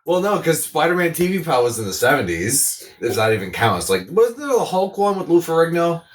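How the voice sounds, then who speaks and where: A man's voice: on the high side, big pitch swings, fairly quick, echoey room, a little hiss or hum. A man co-hosting a podcast in casual comedic banter, with a sardonic, sweary way of talking. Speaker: podcast co-host. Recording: slightly distant from the mic.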